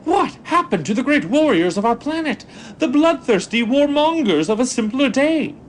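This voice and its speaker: Pompous, swooping Martian warlord villain. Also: male voice, extremely high pitch, very animated, moderate pace, slight background noise. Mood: indignant, ranting. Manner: pompous, theatrical speechifying. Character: pompous, bloodthirsty, bombastic male Martian warrior villain. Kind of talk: audio drama acting.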